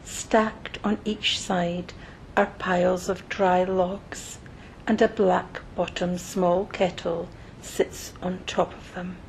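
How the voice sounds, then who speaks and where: Woman reading a guided visualisation, super slow n soothing, medium pitch, bit of rumbly noise behind her. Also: level tone, creaky, poor audio. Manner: soothing, measured, pausing between short phrases. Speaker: meditation guide. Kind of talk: scripted guided meditation narration. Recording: noticeable low rumbling background noise.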